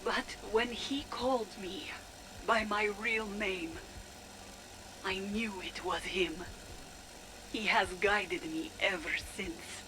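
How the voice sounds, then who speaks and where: A woman playing a fervent, secretive zealot recording a hushed, intense personal log for a video game. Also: mid-range voice, background noise, lightly acted. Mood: haunted devotion. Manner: slow, hushed, intense, deliberate. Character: fervent, secretive female zealot awaiting her father's vengeance.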